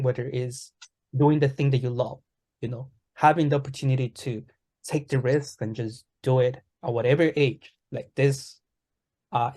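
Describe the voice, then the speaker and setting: Male co-host, mid-range voice, relaxed and filler-heavy delivery. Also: medium pitch. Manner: relaxed, many fillers like "kinda, like". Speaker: podcast co-host. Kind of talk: casual podcast chat.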